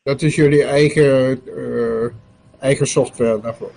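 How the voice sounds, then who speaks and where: An older man moderating a question session on a video call, putting brisk technical questions. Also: medium pitch, fairly even intonation, gravelly, a little mellow. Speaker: meetup moderator. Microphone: webcam on video call.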